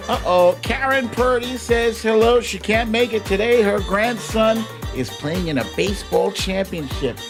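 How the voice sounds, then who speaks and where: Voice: medium pitch, measured pace, few pauses. Casting: American livestream host, informal and playful, taking their time through casual greetings, faint music bed. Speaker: host of a live online show. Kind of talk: casual livestream chat with shout-outs to viewers.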